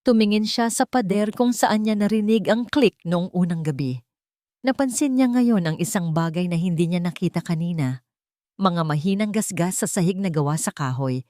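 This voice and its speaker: A woman's voice: lowish voice, warm tone, no echo, clean studio sound. A woman with a Filipino accent reading a scripted dramatic story as its narrator.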